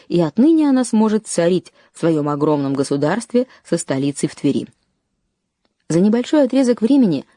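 A woman reading a scripted documentary narration in a measured way, lifting her voice on key phrases. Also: fairly low pitch, mellow, no room sound, clean studio sound.

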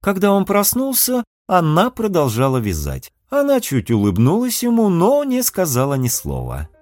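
A man's voice: sits high, huge pitch swings, normal speed, darkish tone, no echo, studio quality. A man reading literary fiction aloud as an audiobook narrator, expressively.

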